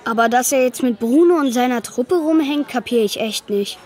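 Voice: mid-range voice, moderate pace, darkish tone, lightly acted. A young performer playing an exasperated ten-year-old boy in a children's radio play, grumbling casually. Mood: fed-up confiding. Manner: casual, grumbling, youthful slang.

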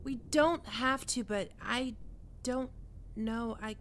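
A woman voicing a prickly, flustered young catgirl roommate in an intimate ASMR roleplay, halting and defensive but secretly soft. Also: fairly high pitch, slight background noise. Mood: hesitant, tender.

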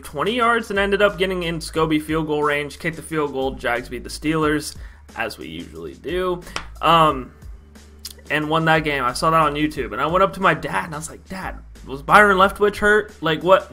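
A young American man from Idaho telling a story casually to camera, talking in an animated, slangy, emphatic way. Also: on the high side. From Idaho, United States. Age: young adult. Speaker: YouTube vlogger. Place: small bedroom, indoors.

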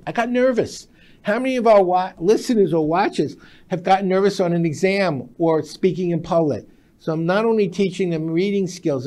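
Older guy in his 60s, pretty high voice, punchy short sentences, teaching stuff on a studio talk show. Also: darkish tone. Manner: emphatic, instructive, short declarative sentences. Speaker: guest expert, speed reading teacher. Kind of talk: explanatory interview talk. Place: TV-style studio at a glass desk. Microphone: lapel mic.

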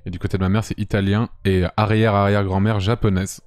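A man's voice: lowish voice, level tone, fast talker, a little mellow. A young man from France, chatting casually and thinking aloud on a game livestream, close on the mic. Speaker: live streamer. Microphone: close boom mic with headset. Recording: music playing under the voice.

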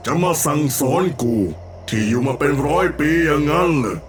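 A man's voice: fairly high pitch, expressive, a little fast. A man playing a vengeful, century-old demon abbot in a horror cartoon, crude and contemptuous in manner. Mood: scornful menace. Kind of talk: dramatic animated horror acting. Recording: loud music behind the voice. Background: music.

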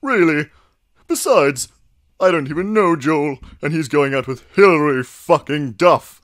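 Storybook role: melodramatic teenage vampire girl, played by a man, higher pitched, drawn-out and indignant. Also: animated, fairly bright tone. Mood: dramatic, gushing. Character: overdramatic, foul-mouthed teenage goth vampire girl.

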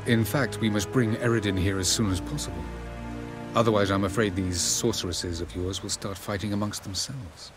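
An ancient elf sage played by a man. He is haughty, guarded and formal, measuring out condescending game dialogue over clear music. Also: fairly low pitch, steady tone, normal speed, close to an everyday voice. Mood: aloof, evasive.

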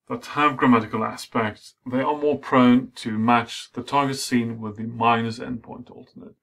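Swedish-native guy lecturing, slow and measured, bit of vocal fry. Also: mid-range voice, a bit bright. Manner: measured, with frequent fillers. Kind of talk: academic lecture.

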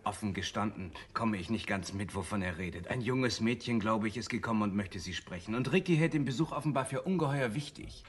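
A man's voice: fairly low pitch, fairly quick, hardly any pauses, breathy edge. A man playing an apologetic, fussy messenger in a radio crime drama, speaking in a hesitant, wordy way. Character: apologetic, fussy male acquaintance bringing urgent news.

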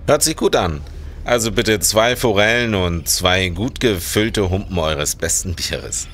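A man's voice: fairly low pitch, measured pace, fairly bright tone, faint music behind. A man playing a hearty, persistent police constable with a wry, insistent manner in a historical radio play.

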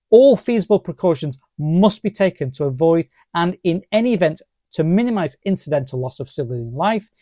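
A middle-aged man delivers scripted explanatory narration. His tone is mellow and his manner is formal and deliberate, with emphasis on key terms. The sound is close and dry, with very little room in it. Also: fairly high pitch, dry recording. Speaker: presenter of legal explainer.

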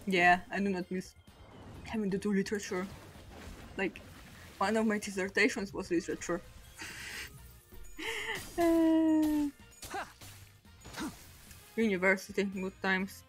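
A woman's voice: mid-range voice, takes it slowly, pauses often, soft background music. Chatty young woman with a Polish accent. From Poland. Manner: rambling, self-mocking, laughs often between thoughts. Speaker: streamer. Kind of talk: casual chat over gameplay.

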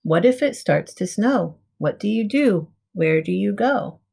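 A middle-aged woman reading a picture book aloud to young children in simple, measured storybook phrasing. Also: mid-range voice, lively intonation, vocal fry, mellow, husky. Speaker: preschool teacher reading aloud. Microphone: webcam at arm's length.

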